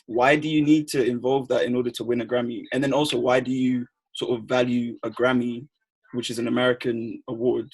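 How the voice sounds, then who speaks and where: Young host, quick and slangy, husky edge. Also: male voice, mid-range voice, rough recording. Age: young adult. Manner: fast, animated, retells friends' quips with slang. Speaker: podcast host. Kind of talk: casual chat.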